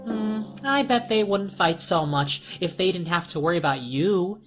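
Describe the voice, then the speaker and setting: A woman voicing a smug, childish doll-like monster girl in a horror story, drawn-out and wheedling, with music behind. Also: fairly low pitch, lively intonation, pitched above the performer's own voice, close to an everyday voice. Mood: sly, cruel. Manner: drawn-out, pouty, wheedling sing-song.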